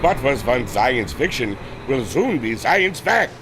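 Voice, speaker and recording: An actor playing an earnest, proud inventor with a formal, theatrical manner in a pulp audio drama. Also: male voice, medium pitch, very animated, slow pace, noisy background. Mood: proud, excited.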